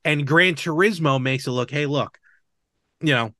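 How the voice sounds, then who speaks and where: Bright-voiced man hosting a casual podcast. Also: a little high, moderate pace, no echo, studio quality. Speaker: podcast host. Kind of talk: casual chat about movies and games.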